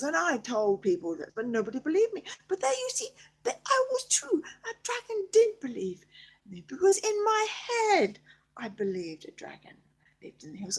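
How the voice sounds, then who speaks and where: A woman improvising a bedtime story in a halting, childlike, theatrical way, with a breathy, husky voice that often repeats itself. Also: on the high side. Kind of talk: improvised bedtime story, told in character as part of it.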